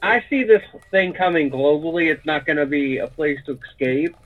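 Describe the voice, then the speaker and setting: Casting: male guest, fairly high voice, heard over a tinny phone-like line, casual, argues back. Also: expressive, moderate pace. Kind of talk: casual chat.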